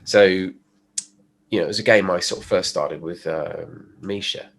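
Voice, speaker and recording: A man with a stuffy cold, explaining his work in a relaxed podcast chat with fillers and restarts. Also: sits low, a little slow, creaky, husky. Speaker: guest, pickup designer. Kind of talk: casual podcast conversation.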